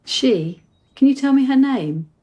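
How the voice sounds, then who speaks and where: A woman's voice: high-pitched, lively intonation, unhurried, dark tone. An actress playing a precocious daughter in a radio play, questioning her father in a direct, dry manner. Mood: insistent.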